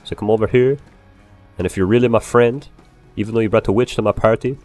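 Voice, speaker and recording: A man improvising in-character game roleplay, swearing and ranting in an excitable way. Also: fairly low pitch, quick pace, faint music behind. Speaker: roleplayer playing a bar owner.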